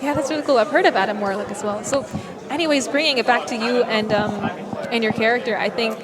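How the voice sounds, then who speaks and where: A woman hosts an interview at a live event, friendly and hesitant, with many fillers. Her voice is slightly high. A loud crowd hums behind her. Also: fast talker. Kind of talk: casual interview.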